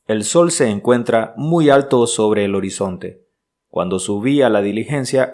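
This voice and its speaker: An actor playing an earnest, uneasy traveller who narrates his diary in measured, literary style for a radio drama. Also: lowish voice, darkish tone, close to an everyday voice.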